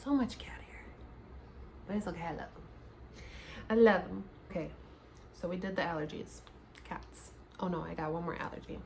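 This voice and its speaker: A chatty woman in her late twenties with a slightly lower voice, taking questions one by one in a relaxed home vlog. She laughs easily and restarts her sentences as she goes. A faint hum of room noise sits behind her. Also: moderate pace, gravelly, pauses often. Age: 20s. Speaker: YouTuber. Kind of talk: casual sit-down Q&A vlog. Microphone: camera mic, seated at home.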